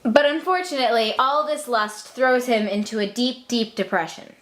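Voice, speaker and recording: A woman's voice: on the high side, moderate pace, fairly bright tone. A woman giving comic scripted narration for a puppet show in an irreverent, sardonic manner.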